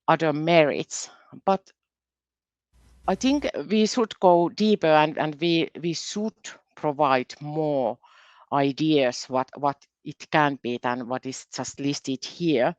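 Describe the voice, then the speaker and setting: A woman with a Finnish accent and Finnish as her first language gives a careful, halting conference presentation as a university research specialist, often doubling her words. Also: low-pitched, no room sound. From Finland.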